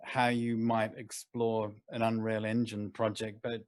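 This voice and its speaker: A man from a visualization studio describing his team's workflow briskly on a panel. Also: fairly low pitch, little pitch movement, slight background noise. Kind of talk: casual video call panel.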